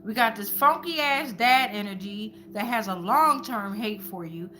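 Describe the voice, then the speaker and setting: A woman's voice: medium pitch, lively intonation, normal speed, bright, forceful tone, a little hiss or hum. A woman host giving an informal spiritual tarot reading to viewers, speaking colloquially and repeating phrases like incantations. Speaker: tarot reader and channel host.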